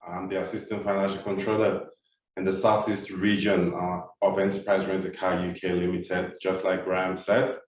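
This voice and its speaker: A man from Nigeria speaks with a Nigerian accent, Yoruba his first language. His voice sits fairly low, and he talks in a measured way with frequent "uh" fillers. The sound is very echoey, dull and comes from far off the mic. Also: flat delivery. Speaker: keynote speaker. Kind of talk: webinar talk.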